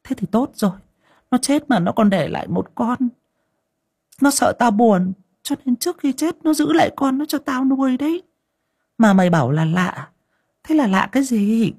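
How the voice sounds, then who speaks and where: A man voicing a fussy old woman, the mistress of the house, with high scolding exclamations. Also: expressive, normal speed, darkish tone, slightly rough, full character voice. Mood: pleased.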